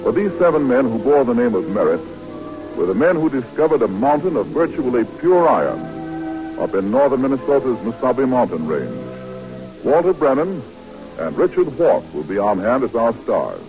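A man's voice: medium pitch, very animated, normal speed, over music, low-quality recording. A man with an American accent announcing a 1940s radio program, reading a script in a formal, declamatory style. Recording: vintage 1940s radio broadcast recording.

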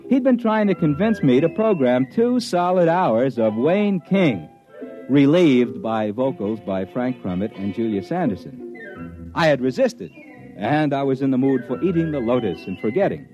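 Male actor as sardonic hipster narrator, old radio drama, slangy, mid-pitched, muffled sound. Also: sing-song, dark tone, faint music behind, lightly acted. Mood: wry storytelling. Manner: sardonic, jive slang, drawn-out hesitations. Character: wry, hip, cash-strapped radio disc jockey narrator. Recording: dull vintage radio recording.

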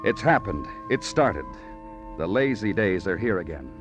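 An actor playing a world-weary, poetic police detective in an old radio crime drama, speaking in clipped, lyrical phrases. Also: male voice, sits low, very animated, unhurried. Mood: wry, lyrical. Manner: clipped, brooding, lyrical narration. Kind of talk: 1940s-50s radio noir acting. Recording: old radio recording with music.

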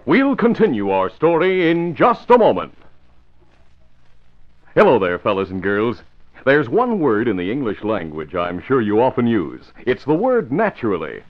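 Animated old-time radio announcer, man. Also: medium pitch, normal speed, soft background music. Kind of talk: scripted 1950s radio announcing. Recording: muffled vintage radio recording.

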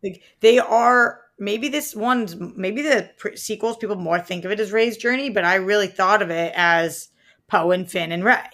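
Chatty, halting young woman co-host. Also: mid-range voice, a bit bright. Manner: chatty, halting, many fillers and restarts. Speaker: podcast co-host. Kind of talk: casual pop-culture chat.

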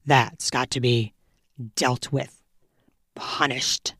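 A woman putting on a low, possessive young man. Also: fairly even intonation, very slow, fairly bright tone, husky, no room sound, studio quality, heavily acted. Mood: stern. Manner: low, murmured, commanding.

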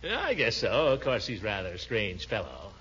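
An actor playing a pompous, excitable middle-aged bachelor in 1940s radio comedy, blustery and given to chuckling. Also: male voice, on the low side, moderate pace. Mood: relaxed. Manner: blustery, stammering starts, chuckling. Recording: muffled, noisy old radio recording.

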